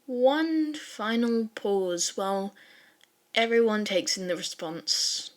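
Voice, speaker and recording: A woman reading fan fiction as an audiobook-style narrator, with a casual, wry, expressive storytelling manner. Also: mid-range voice, takes it slowly.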